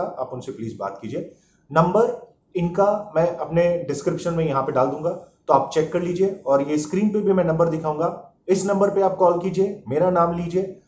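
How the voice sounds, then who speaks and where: A man's voice: fairly high pitch, darkish tone. A man giving a casual, informal product review as a vlogger, mixing English phrases into his explanations. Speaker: motorcycle vlogger reviewing gear. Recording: echoey room, dull muffled sound, faint noise.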